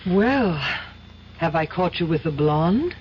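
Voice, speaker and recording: A woman's voice: lowish voice, animated, slow pace. An actress playing a playful, coaxing young woman who turns insistent, in a 1970s radio drama. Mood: teasing. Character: teasing, coaxing young woman, stubborn underneath. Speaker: lawyer's girlfriend and boss's daughter. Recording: dull lo-fi old radio broadcast with faint hiss.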